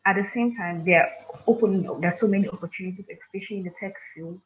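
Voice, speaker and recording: A woman's voice: medium pitch, quick pace. A woman with a Cameroonian accent giving an informal talk as a guest speaker at an online conference. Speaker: tech entrepreneur, guest speaker. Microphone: online conference call. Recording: muffled online call with clear noise.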